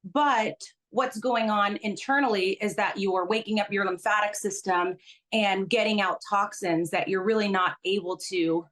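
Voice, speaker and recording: A woman's voice: medium pitch, fairly bright tone. A Belgian-born woman, a business owner, earnestly explaining her work in a casual interview over a video call.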